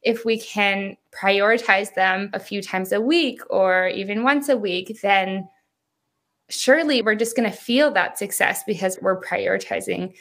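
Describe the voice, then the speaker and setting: A woman in her thirties, a hypnotherapist, talking as a podcast guest, chatty and upbeat, with a soft, soothing voice and frequent laughs. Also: medium pitch, unhurried. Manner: talkative, upbeat, laughs often. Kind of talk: casual interview chat.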